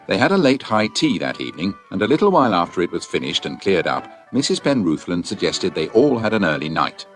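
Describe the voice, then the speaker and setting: Deep-voiced man narrating a children's story. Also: big pitch swings, fairly quick, faint music behind. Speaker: audiobook narrator.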